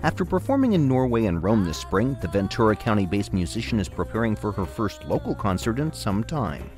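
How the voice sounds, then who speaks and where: A male radio reporter reads a scripted feature in a low voice that moves lively up and down in pitch. His delivery is smooth and measured in the newsreader way. Faint music runs beneath his narration.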